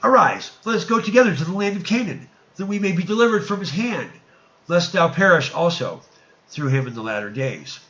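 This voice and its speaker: A man voicing an earnest, urgent biblical patriarch in a scripture reading, slow, emphatic and declamatory. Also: fairly high pitch, a bit bright, dull audio. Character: earnest, urgent biblical patriarch Abram pleading with his father.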